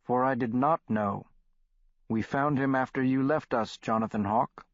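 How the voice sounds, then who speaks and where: Man voicing solemn old shaman, measured, dry dull audiobook recording. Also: medium pitch, no echo, lightly acted.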